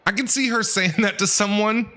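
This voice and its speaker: A man reacting casually as a video game streamer, excitable and slangy. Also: high-pitched, normal speed. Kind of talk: casual live reaction commentary.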